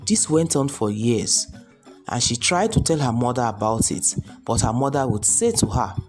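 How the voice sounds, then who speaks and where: A woman's voice: a bass voice, lively intonation, moderate pace, a little mellow. A woman storyteller reading a scripted folktale narration. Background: music behind the voice.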